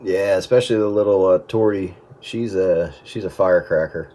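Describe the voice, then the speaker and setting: A man's voice: low-pitched, normal speed, background noise. A middle-aged man chatting casually over amateur radio in a rambling way, often restarting his sentences.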